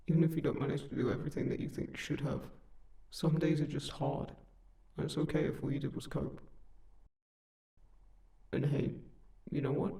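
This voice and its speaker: Guy playing a kindly sleep paralysis demon, soft mellow tone, gentle and reassuring, roomy echoey sound, comfort roleplay. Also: fairly high pitch, gravelly. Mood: soothing, encouraging. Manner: gentle, soothing, reassuring, unhurried. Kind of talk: intimate comfort audio roleplay. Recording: roomy, echoing, slightly distant voice.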